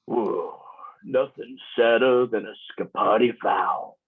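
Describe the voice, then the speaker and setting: A man's voice: fairly low pitch, slow pace, slightly rough. A man playing a swaggering, laid-back alien frog outlaw in a comedy script read, cocky and slangy, over a muffled, narrow connection. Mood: boozy, wistful. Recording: muffled, phone-like narrow sound.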